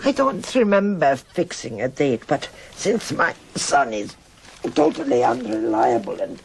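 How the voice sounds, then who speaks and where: Radio drama: imperious eccentric old countess, German accent, lowish voice, wide swooping intonation. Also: female voice, wildly swooping pitch, a little slow, creaky, a little hiss or hum, heavily acted. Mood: blunt, eccentric. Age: older. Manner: blunt, grumbling, rambling.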